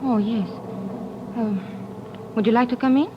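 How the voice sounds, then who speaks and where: A woman's voice: on the high side, unhurried, noisy background, natural voice. An actress playing a guarded, anxious married woman in an old radio drama, hesitant and formal in her phrasing. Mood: wary. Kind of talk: 1940s-50s radio drama acting. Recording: dull old radio recording.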